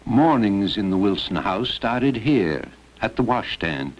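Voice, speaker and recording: Guy doing scripted narration for a school film, lowish voice, muffled lo-fi audio. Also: very animated, measured pace. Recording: dull lo-fi old educational film.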